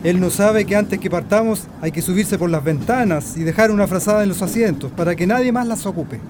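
A man's voice: high-pitched, fairly quick, close to an everyday voice. An actor playing an easygoing working-class Chilean husband and father in a radio drama. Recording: clear background noise.

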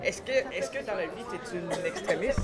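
A man in his fifties with a fairly high voice asks chatty questions and jumps in to finish his guest's sentences. The interview takes place outdoors, where a loud crowd and passing traffic fill the background. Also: expressive, moderate pace. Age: 50s. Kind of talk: casual interview.

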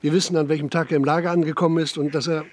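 An actor playing a skeptical, weary man in a radio drama, dry, ironic and clipped, with a husky voice. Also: a little high, flat delivery, racing, natural voice. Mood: defensive. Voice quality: husky, gravelly. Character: skeptical, sardonic, weary man, dryly mocking. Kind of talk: naturalistic radio drama dialogue.

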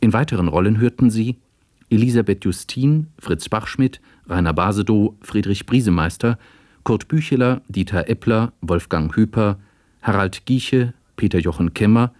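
A man reading scripted broadcast credits as an announcer, formal and measured. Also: fairly low pitch, darkish tone, dry recording, clean studio sound.

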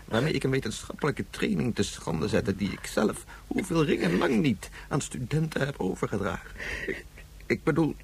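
An actor playing a guilt-ridden, hot-tempered scientist and father, halting and self-accusing, in a science fiction radio drama. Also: mid-range voice, expressive, vocal fry, slightly rough, heavily acted. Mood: anguished, remorseful.

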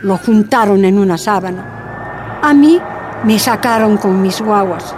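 A woman's voice: mid-range voice, a little slow, background noise, lightly acted. An actress playing an earnest, pained Bolivian mother giving testimony in a radio drama. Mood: distressed.

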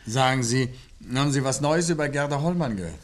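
An actor playing an urbane, genial and shrewd amateur sleuth in a 1950s German radio play, casual and probing, with thoughtful little interjections. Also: male voice, medium pitch, brisk, lightly acted.

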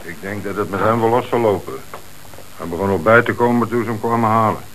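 An actor playing a dutiful police subordinate, matter-of-fact, in a radio play. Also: male voice, on the low side, fast, lightly acted. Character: dutiful male police subordinate reporting to his commissioner. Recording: hissy old radio play recording.

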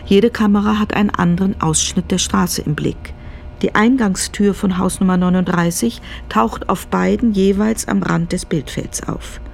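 A woman's voice: medium pitch, normal speed, gravelly, darkish tone, slight background noise. A woman narrating a scripted radio drama in a matter-of-fact manner.

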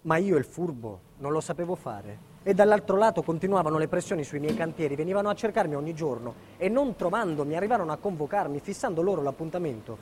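A man's voice: a little high, expressive, fast talker, few pauses, a little mellow, a little hiss or hum, close to an everyday voice. A man playing a defiant, indignant building contractor, delivering an emphatic theatrical monologue about his ordeal. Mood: bitter explaining. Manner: emphatic, dramatic storytelling. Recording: reverberant hall with long echo.

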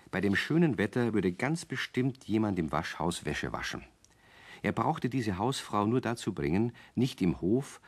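A man narrating a scripted children's story as the storyteller of a radio play. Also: low-pitched.

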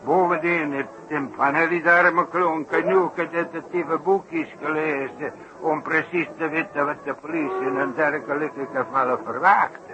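An actor playing a self-important, wordy circus clown in a 1960s radio play, repeating himself for emphasis. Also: male voice, fairly high pitch, fairly even intonation, moderate pace, lightly acted. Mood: eager. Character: excitable, boastful old-fashioned circus clown with foreign flourishes. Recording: dull, noisy 1960s radio recording.

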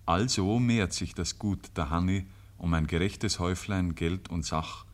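A man's voice: sits low, fairly even intonation, unhurried. A man with a Bavarian accent narrating a rural tale in a literary radio play. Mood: measured, grave. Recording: 1950s radio recording.

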